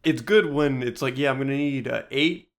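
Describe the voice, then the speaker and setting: Male co-host, mid-pitched voice, wry and joking, informal conversational delivery. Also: moderate pace. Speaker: podcast co-host. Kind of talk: casual chat.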